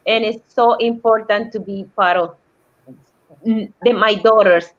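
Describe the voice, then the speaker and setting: A middle-aged woman from Colombia with a Spanish accent, speaking English haltingly and searching for words in a casual chat. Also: medium pitch, steady tone. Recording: dull lo-fi sound.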